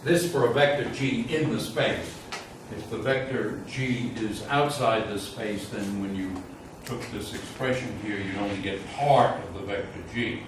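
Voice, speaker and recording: A man lecturing on physics in an informal classroom style, thinking aloud haltingly and frankly admitting uncertainty. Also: on the low side. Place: lecture room, mic away from speaker. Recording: roomy echo, distant mic, loud room noise.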